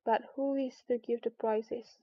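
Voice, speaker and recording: Woman playing a solemn, pompous storybook dodo, high-pitched. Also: fairly even intonation, a little slow, dry recording, dull audio. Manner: grave, declarative. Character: solemn, pompous dodo bird, leader of the party.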